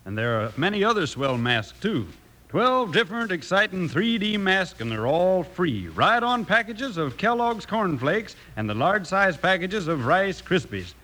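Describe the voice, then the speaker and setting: A man's voice: high-pitched, sing-song, moderate pace, bright, forceful tone. An American man reading 1950s radio advertising as an upbeat announcer. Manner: upbeat, instructing sales delivery.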